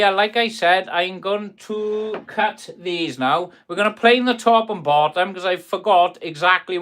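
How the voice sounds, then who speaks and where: A man's voice: sits high, a bit bright. A man from South Wales with a South Welsh accent, a carpenter chatting on a live stream from his workshop, rambling in a friendly way as he answers viewers' comments. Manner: friendly, rambling, calls viewers "boys" and "buddy".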